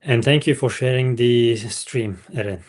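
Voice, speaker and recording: A man's voice: medium pitch, unhurried. A man reading a book aloud as a livestream host, with informal asides to listeners.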